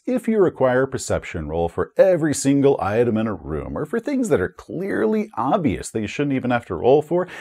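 A man in his forties talking to camera as a host, giving advice in an informal, emphatic and expressive way. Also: fairly low pitch, slightly rough. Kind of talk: conversational advice monologue to camera. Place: small indoor room with bookshelves and curtain. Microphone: lapel mic.

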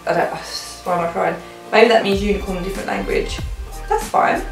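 A woman's voice: medium pitch, a little fast, gravelly. Young British female vlogger, informal and rambling, recorded at a distance in a roomy home with music behind. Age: young adult. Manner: informal, rambling, thinking aloud. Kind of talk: casual chatty haul vlog. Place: living rooms at home. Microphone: camera a few feet away.

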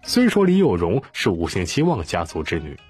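Low-voiced male storyteller, very lively delivery. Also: brisk, husky, faint music behind. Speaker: audiobook narrator. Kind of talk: expressive scripted storytelling.

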